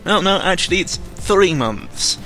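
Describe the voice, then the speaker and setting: A young man giving casual, unscripted commentary in a rambling, halting way, often interrupting himself, with a sarcastic, self-deprecating and exasperated manner. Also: high-pitched, expressive, bright, forceful tone. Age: young adult. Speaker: YouTuber recording an audio log. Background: background music.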